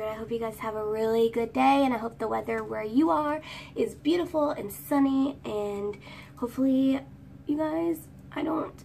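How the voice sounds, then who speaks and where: A young woman in her twenties with a high, girlish voice, chatting and rambling while doing her hair for a vlog, recorded on a phone at arm's length in a small room. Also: vocal fry, darkish tone, a little hiss or hum. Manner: rambling, sings snatches of songs. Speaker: vlogger. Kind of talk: chatty get-ready-with-me storytime. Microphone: phone camera at arm's length. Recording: distant phone mic, faint room ambience.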